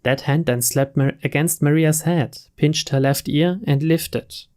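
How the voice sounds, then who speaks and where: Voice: medium pitch, fairly even intonation, a little slow, darkish tone. A narrator giving a scripted reading of a story from a visual novel. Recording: voice over clear string music.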